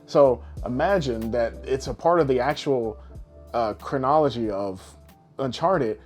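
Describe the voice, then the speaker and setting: Male podcast co-host, mid-pitched, casual and profane, joking banter with music behind. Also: a little slow, darkish tone. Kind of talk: casual banter about films and games.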